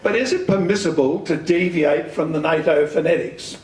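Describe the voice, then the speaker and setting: An older man giving an instructional talk, deliberate and emphatic, putting questions to his listeners. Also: on the high side, a little mellow. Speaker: speaker giving an amateur radio talk. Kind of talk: instructional lecture with questions to the audience. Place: community centre meeting room. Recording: echoey hall, distant microphone.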